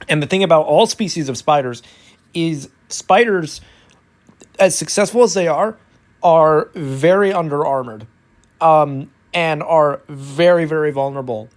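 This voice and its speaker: A man giving a detailed educational explainer in ASMR style, restating key points for emphasis as he goes. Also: a little high. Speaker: educational content creator.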